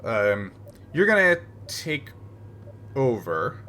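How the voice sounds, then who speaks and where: A man's voice: medium pitch, big pitch swings, drawn-out words, sharp tone, a little hiss or hum. A man casually narrating as game master of a tabletop roleplaying game, feeling his way through his phrases in conversation.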